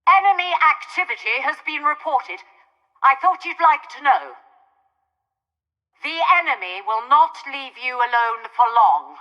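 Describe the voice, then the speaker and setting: A woman's voice: sits high, big pitch swings, a little slow, in a large hall, muffled recording. A woman playing a haughty aristocratic starship mistress in a video game, speaking formally with dry sardonic disdain. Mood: composed, commanding. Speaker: video game mission commander. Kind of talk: scripted video game dialogue.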